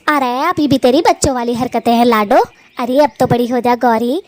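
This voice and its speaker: Woman as a high-voiced, fussing big sister bird. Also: moderate pace, darkish tone, no echo, close to an everyday voice. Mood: chiding. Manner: affectionate nagging, bossy, homely. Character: fond, scolding, matchmaking elder sister bird. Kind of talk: animated cartoon story dialogue.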